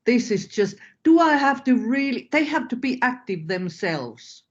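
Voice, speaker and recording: A woman's voice: fairly high pitch, sing-song, unhurried. A woman moderating an informal webinar, on slightly dull call audio. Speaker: moderator, teacher.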